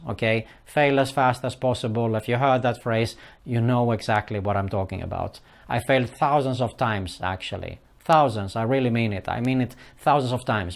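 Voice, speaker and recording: A middle-aged man gives earnest advice in English, his third language. He talks casually and stops often on "uh" and "for example". His voice sits in an ordinary middle pitch. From Sweden. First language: Swedish. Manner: earnest, repeats "for example" and "keep that in mind", frequent "uh" fillers. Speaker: online business vlogger. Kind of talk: casual advice talk to camera.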